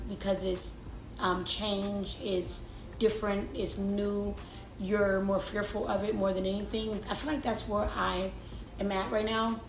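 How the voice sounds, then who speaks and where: A young adult woman vlogger chatting casually and confidingly to her viewers, often repeating words for emphasis. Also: mid-range voice. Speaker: lifestyle vlogger. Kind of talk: casual confiding chat to camera. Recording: roomy echo, clear background noise.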